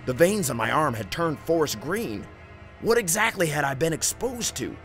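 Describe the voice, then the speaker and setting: A man narrating a scripted first-person horror story in an expressive, suspenseful storytelling manner. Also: a little high, moderate pace. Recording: music bed under narration. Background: background music.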